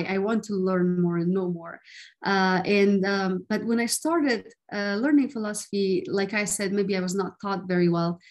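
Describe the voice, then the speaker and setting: A young woman in her twenties from Afghanistan, a student answering interview questions earnestly with a few fillers. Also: medium pitch. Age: 20s. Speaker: guest, student and activist.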